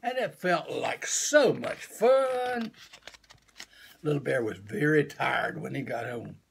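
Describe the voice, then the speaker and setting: Gravelly older man reading a children's story slowly. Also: mid-range voice, a little hiss or hum. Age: 70s. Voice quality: gravelly, husky. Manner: slow, deliberate, animated. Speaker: grandfatherly storyteller reading a picture book. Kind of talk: expressive read-aloud of a children's story. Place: small room at home, indoors.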